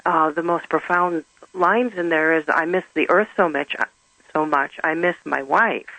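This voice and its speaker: A middle-aged woman whose first language is German, speaking earnestly and haltingly as an interview guest. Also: deep voice, sharp tone, dry recording, rough recording. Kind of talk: personal testimony in conversation.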